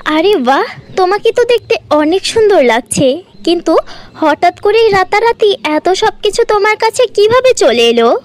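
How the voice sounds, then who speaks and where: A woman voicing an envious, greedy young girl in a cartoon fairy tale, shrill and emphatic. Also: sing-song, normal speed. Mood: astonished.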